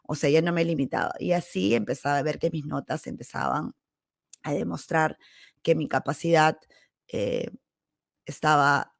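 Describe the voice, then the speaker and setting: A Peruvian woman with a Peruvian accent hosting a podcast, telling personal stories in a casual, candid and animated way. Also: sits low, moderate pace, creaky, no echo, clean studio sound. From Peru. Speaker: podcast host. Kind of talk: casual autobiographical storytelling monologue.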